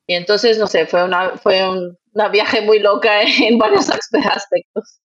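A woman's voice: mid-range voice, a little slow. Brazilian woman in a dull recording. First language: Portuguese. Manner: thinks aloud, frequent tag questions. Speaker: interview guest, nonprofit executive director. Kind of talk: conversational interview answers.

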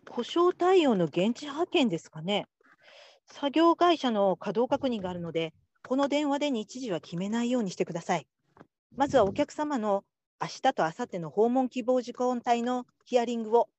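A woman's voice: on the high side, animated, moderate pace, darkish tone, close to an everyday voice. A woman playing a practical call-centre supervisor, giving businesslike instructions in a radio drama.

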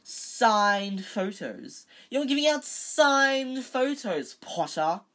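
A woman's voice: on the low side, pitched above the performer's own voice. A woman voicing a spiteful schoolboy bully, mocking and scathing, in a storybook reading. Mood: loud, scathing. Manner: loud, drawn-out, mocking.

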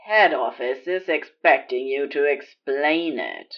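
A woman's voice: low voice, slow pace. A woman voicing a sneering, gloating demon, menacing and scornful, through a muffled radio filter. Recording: dull, band-limited radio-style sound.

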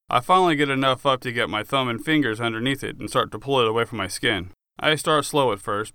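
Crisp, bright male audiobook narrator. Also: medium pitch, no echo, clean studio sound. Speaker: author narrating own audiobook. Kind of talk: first-person scripted narration.

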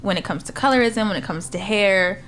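A woman's voice: mid-range voice, a little fast, fairly bright tone. A woman guest on a casual podcast, speaking earnestly and backing up points with emphatic agreement. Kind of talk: casual chat.

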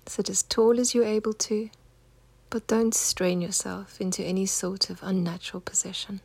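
A woman leading a guided meditation in a soft, husky, near-whispered voice, giving gentle, unhurried, soothing instructions. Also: medium pitch, flat delivery, dry recording.

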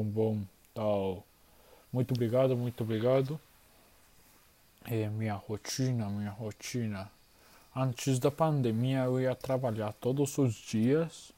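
A performer voicing a 27-year-old engineer from Recife who speaks in a low, subdued way and keeps repeating phrases. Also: dark tone, lightly acted. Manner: low, subdued, apologetic, repetitive.

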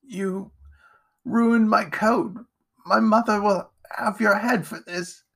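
A man's voice: fairly high pitch, lively intonation, measured pace, pitched above the performer's own voice. A man voicing a spiteful, haughty rich boy with a sneering, commanding manner in an audiobook. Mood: wounded. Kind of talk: audiobook character voice.

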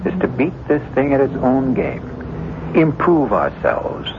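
A man hosting and narrating a radio drama in a grave, measured, scripted manner. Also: medium pitch, expressive, noisy background, lightly acted. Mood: solemn musing. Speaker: host and narrator. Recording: muffled old radio broadcast.

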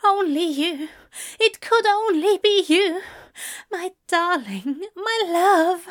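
A woman's voice: very high voice, expressive, slow, voice pushed up, exaggerated voice. A woman voicing an adoring male angel in an audiobook drama, pleading and overwhelmed with emotion. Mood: adoring, moaning. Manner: moaning, gasping, emotional delivery.

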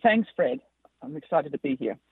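A woman with an Australian accent, a company founder answering interview questions, speaking haltingly with many fillers. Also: low voice, expressive, husky, no echo. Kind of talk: interview over the phone. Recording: tinny dry phone line.

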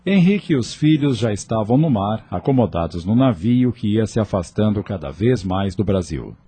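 Guy narrating a radio play, very animated, mellow low voice, music under him. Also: moderate pace, slightly rough. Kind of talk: dramatic scripted radio drama narration.

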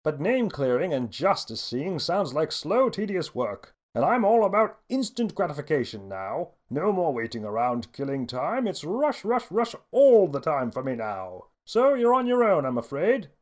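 Give me a man acting out a hurried ex-prisoner from a storybook, higher-pitched, lively and blunt. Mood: impatient, dismissive. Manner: breezy, abrupt, emphatic. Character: hurried, impatient freed male prisoner, brashly blunt.

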